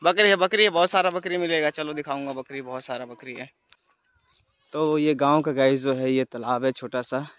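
A man in his thirties talks straight to his viewers in an easy, chatty way while he walks through open fields at dusk. The phone is held at arm's length and picks up the outdoor air around him. His voice sits at a middling pitch. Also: moderate pace, fairly bright tone, no echo. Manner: chatty, addresses viewers directly. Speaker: vlogger. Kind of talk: casual travel vlog narration.

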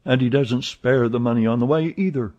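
A man voicing an excitable, chatty young French manservant who talks eagerly and protests often, in a dull lo-fi recording. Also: medium pitch, lightly acted. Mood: excited. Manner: eager, exclamatory, quick to protest.

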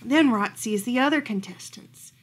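A woman reading a children's picture book aloud at home in an animated storytelling style. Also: fairly high pitch, moderate pace. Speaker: librarian reading bedtime stories. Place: furnished room at home, indoors.

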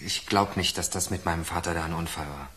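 A man's voice: low-pitched, fast talker, bright, forceful tone. An actor playing a bitter, sarcastic young male prison inmate in a radio crime drama. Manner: sarcastic, dry. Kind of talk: naturalistic 1980s German radio crime drama acting.

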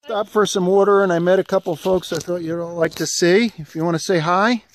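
A man's voice: high voice, steady tone, fairly quick. A middle-aged man casually narrating a hiking vlog outdoors into a handheld phone. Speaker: hiker vlogger. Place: outdoors on a wooded trail and dam. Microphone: handheld phone at arm's length. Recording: clear outdoor background noise.

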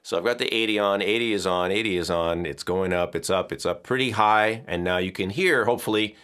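A man in his fifties with a bass-baritone voice, casually explaining audio equipment in a home studio. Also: fairly quick, clear, resonant tone. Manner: relaxed, chatty explaining with gestures and small laughs. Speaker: music educator. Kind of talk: hands-on audio tutorial. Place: home music studio, indoors. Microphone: headphones on, studio mic off camera.

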